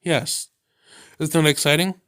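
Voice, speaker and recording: A man's voice: fairly high pitch, fairly even intonation, measured pace, a bit bright, no room sound, clean studio sound. A man reading as a sweet, clingy young woman. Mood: cheerful. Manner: coaxing, affectionate. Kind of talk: reading a visual novel aloud.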